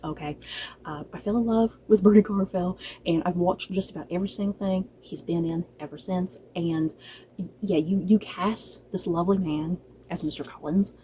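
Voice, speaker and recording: Chatty woman vlogger with a mellow voice. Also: fairly low pitch, normal speed, a little hiss or hum. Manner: gushing, playfully exasperated fan talk; chatty, informal, addresses viewers directly. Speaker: book and drama review vlogger. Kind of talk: casual review chat to camera.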